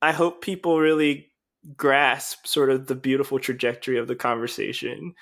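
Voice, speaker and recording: A man of average pitch co-hosts a casual podcast. He rambles and hesitates, restarting his sentences. He often pokes fun at himself as he talks. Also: little pitch movement, moderate pace, vocal fry, fairly bright tone. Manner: rambling, hesitant, self-deprecating. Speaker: podcast co-host.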